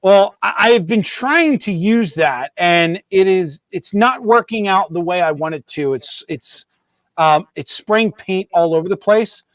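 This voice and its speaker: High-voiced man rambling over his hobby bench. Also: expressive, a little slow, no echo. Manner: rambling, self-correcting, joking. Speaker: host of a model railroad show. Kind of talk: casual live-stream chat.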